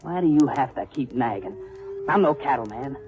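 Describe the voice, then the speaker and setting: An actor playing a resentful young American cowboy, a defensive kid brother who is petulant and curt, in 1950s radio drama style. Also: male voice, medium pitch, expressive, moderate pace, natural voice.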